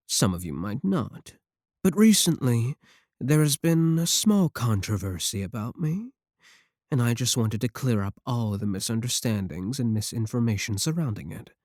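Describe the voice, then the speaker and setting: A man's voice: on the low side, darkish tone, dry recording, clean studio sound, heavily acted. A man playing a falsely innocent, self-justifying VTuber streamer in an ASMR roleplay, explaining himself hesitantly and defensively to his chat. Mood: measured, confiding. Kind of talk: ASMR roleplay monologue as livestream talk to chat.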